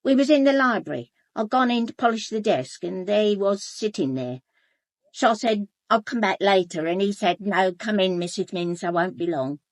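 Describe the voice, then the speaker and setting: A forthright housekeeper, played by a woman in a radio drama, gossipy and easily affronted. Her pitch swoops widely as she talks, and the sound is close and dry. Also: animated, moderate pace, dry recording, natural voice. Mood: matter-of-fact. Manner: indignant, gossipy, matter-of-fact. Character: forthright, chatty working-class housekeeper, sharp and unbothered.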